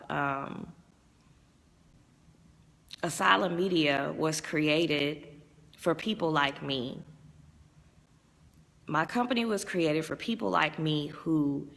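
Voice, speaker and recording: Low, raspy-edged woman host, slow unhurried chat, strained voice. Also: a bit bright, in a large hall. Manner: chatty, rambling, reads viewer comments aloud. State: strained voice from overuse. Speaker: vlogger and music promoter. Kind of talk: casual live-stream chat.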